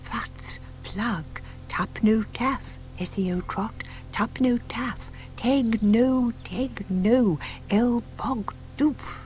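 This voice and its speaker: An actress playing a sweet, excitable older British lady who dotes on her pet, cooing and gushing in an audio drama. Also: a little high, drawn-out words, few pauses, poor audio. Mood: chanting softly. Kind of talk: audio theatre acting. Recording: faint noise, 1990s tape dramatisation.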